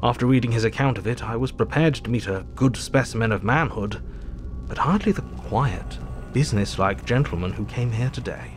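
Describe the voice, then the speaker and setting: An actor playing a thoughtful Victorian doctor reading his diary aloud in a formal, measured, reflective way. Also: mid-range voice, close to an everyday voice. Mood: impressed, musing. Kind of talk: dramatic audio diary narration. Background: music.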